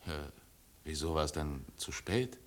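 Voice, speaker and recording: An actor playing a calm, attentive private detective in a 1950s German radio drama. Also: male voice, a bass voice, unhurried. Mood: calm, probing. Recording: noisy old radio recording.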